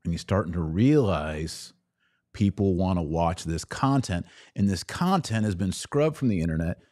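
A man's voice: deep voice, lively intonation, moderate pace, a little mellow, no echo, studio quality. An American man hosting a live-streamed podcast, giving conversational opinion commentary on the news with short, emphatic phrasing. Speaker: podcast host. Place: studio.

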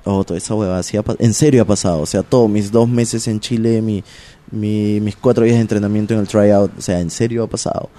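Peruvian-accented wrestler, mellow-toned, loose slangy chat, filler-heavy. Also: male voice, on the low side, brisk. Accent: Peruvian Spanish. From Peru. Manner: animated, slangy, many fillers. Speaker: pro wrestler guest. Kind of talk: casual interview chat.

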